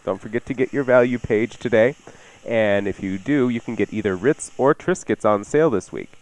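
Male radio cooking host, slightly low-pitched, chatty, self-deprecating and jokey. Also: moderate pace, a little mellow, rough recording.

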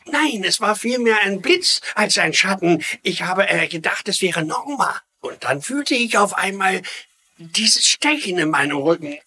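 An actor playing an emotional, self-pitying wounded father in a dubbed TV mystery, with a melodramatic, halting delivery. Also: high voice, animated, bright, forceful tone, slightly rough, full character voice. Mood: halting, dramatic. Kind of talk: dubbed TV mystery drama acting.

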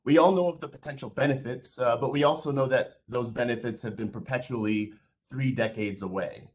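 A man, a board member, giving measured, earnest prepared remarks over a video call. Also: mid-range voice.

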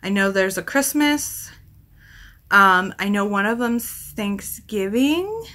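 A woman in her thirties talks in a bright voice of middling pitch. She reviews books casually for a vlog, thinking aloud and correcting herself as she rambles along. It is recorded at home in a small room. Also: expressive, slow pace. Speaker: book vlogger. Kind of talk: casual chatty book review to camera.

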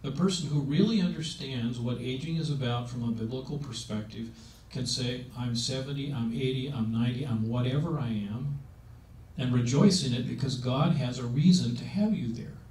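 A man's voice: mid-range voice, moderate pace, darkish tone. A seventy-year-old man preaches on aging with emphatic, conversational delivery and frequent rhetorical questions, talking into a handheld mic. His voice is recorded somewhat distant from the mic in a roomy meeting hall. A faint background hiss runs underneath. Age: 70s. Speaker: speaker on aging. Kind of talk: sermon-style talk. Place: meeting room.

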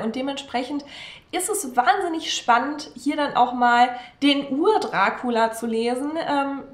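A woman's voice: sits high, echoey room. A young woman vlogger talking enthusiastically to camera about her favourite classics, with frequent fillers, recorded at desk distance in front of bookshelves. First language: German. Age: young adult. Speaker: book vlogger. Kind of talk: casual book talk to camera. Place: room with bookshelves behind her.

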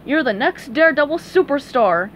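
A woman reading aloud and voicing a scheming, smooth-talking male fish director with a slick, persuasive manner. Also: high-pitched, animated, normal speed, a little hiss or hum, voice pushed up, low-quality recording.

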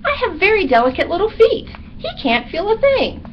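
A woman's voice: very high-pitched, big pitch swings, normal speed, voice pushed up. A woman voicing a small, chatty male bat from an animal storybook, with a theatrical, rambling, comically aggrieved delivery. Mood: blustering, breezy. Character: chatty, dramatic, self-pitying little male bat. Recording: dull recording with background noise.

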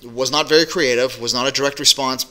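Bright-voiced middle-aged man giving business advice. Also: mid-range voice, quick pace. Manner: energetic, direct, many fillers. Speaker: business coach. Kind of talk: direct-to-camera business advice vlog. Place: small home office indoors. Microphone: webcam at desk.

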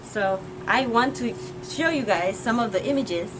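A woman's voice: a little high, animated, unhurried. A young woman from the Dominican Republic, a grad student giving a friendly, plain-spoken tour of her university lab. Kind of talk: casual explanatory lab tour. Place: university lab with benches and windows. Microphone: camera a few meters away. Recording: distant mic, clear background noise.

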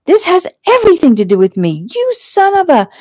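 A woman's voice: fairly low pitch, moderate pace, gravelly, dark tone, dry recording. A woman narrator voicing a demanding, clingy young fiancée in an audiobook, theatrical, pleading and indignant. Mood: shrieking, furious.

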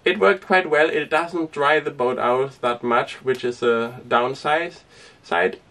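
A young man who grew up speaking Danish, chatting casually to camera inside a small sailboat, relaxed and rambling with lots of fillers. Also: mid-range voice, slow, recorded from a distance. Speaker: sailing vlogger. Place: inside a small sailboat cabin and cockpit tent.

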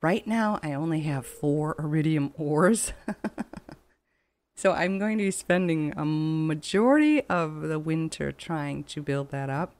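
A woman's voice: low-pitched, animated, unhurried, a little mellow, no room sound. A woman giving casual, chatty let's play commentary in a rambling, conversational way. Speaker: gaming channel host. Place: voice-over on game footage. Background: game music.

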